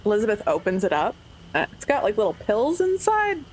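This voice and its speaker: A woman playing a tabletop game, casually describing her character's actions and thinking aloud haltingly. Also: mid-range voice, wildly swooping pitch, a little mellow, slight background noise, low-quality recording.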